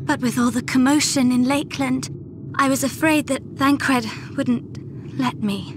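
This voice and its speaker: A timid, high-voiced teenage girl. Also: fairly even intonation, a little slow, background noise. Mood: hesitant, wondering. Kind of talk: dramatic video game voice acting. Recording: music behind the voice.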